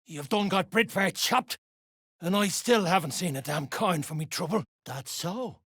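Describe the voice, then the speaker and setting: An actor playing a foul-mouthed, surly duergar dwarf fighter in a video game, with a rough, husky voice and coarse slang. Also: male voice, sits high, measured pace, fairly bright tone, no room sound, studio quality, exaggerated voice. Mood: angry, threatening. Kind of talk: video game character lines.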